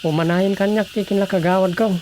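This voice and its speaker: A man's voice: high-pitched, steady tone, brisk, darkish tone, a little hiss or hum, close to an everyday voice, poor audio. An actor playing a matter-of-fact village councilman in a radio drama. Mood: businesslike. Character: male village councilman, acting officer in charge. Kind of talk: Ilocano radio drama acting.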